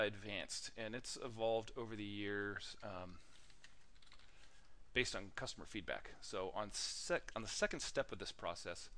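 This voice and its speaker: A man narrating a software tutorial in a casual, informal way, halting often with ums and uhs as he demonstrates. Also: fairly low pitch.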